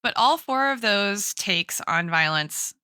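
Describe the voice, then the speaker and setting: A woman's voice: mid-range voice, animated, takes it slowly, sharp tone, smooth, no echo. A woman co-hosting a podcast in casual intellectual discussion, mixing academic terms with informal, "like"-peppered talk. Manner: informal, academic, frequent "like" and "right?". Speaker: podcast co-host and guest.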